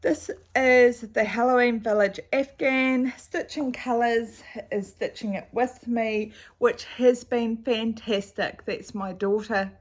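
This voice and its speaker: A middle-aged woman with a slightly croaky voice, chatting casually at home about her cross-stitch projects with a rambling, chatty manner, recorded on a camera's built-in mic. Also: on the high side, steady tone, takes it slowly. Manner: chatty, rambling, with fillers and asides. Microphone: camera's built-in mic, no external microphone.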